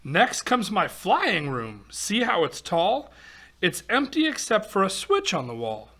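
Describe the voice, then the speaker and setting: A man's voice: sits high, wildly swooping pitch, a little slow, fairly bright tone. A man reading a rhyming picture book aloud to children, expressively, with exclamations and chatty asides. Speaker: volunteer storybook reader for children.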